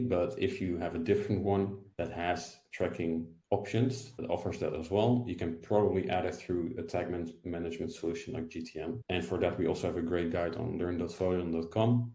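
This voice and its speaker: A young man presenting an explanatory software tutorial in a webinar over a video call. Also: low voice. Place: indoor room, video call. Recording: roomy echo.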